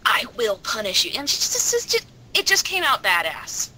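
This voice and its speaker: A woman's voice: fairly high pitch, huge pitch swings, normal speed, bright, forceful tone. A woman chatting casually as an interviewed cast member on an online voice call. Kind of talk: casual group chat. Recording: faintly noisy online call.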